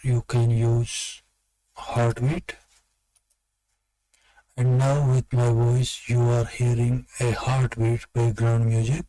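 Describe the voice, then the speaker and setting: A man narrating step-by-step software instructions as a tutorial presenter. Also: medium pitch, little pitch movement, slow, long pauses, rough recording. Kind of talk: instructional screen-recording narration.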